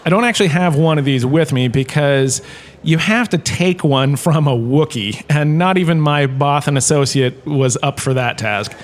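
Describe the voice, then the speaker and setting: A man's voice: fairly high pitch, moderate pace, slight background noise, natural voice. A man playing a washed-up actor turned lecturer in a comedic audio drama, chatty and wry, tossing asides to his class. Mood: chatty explaining.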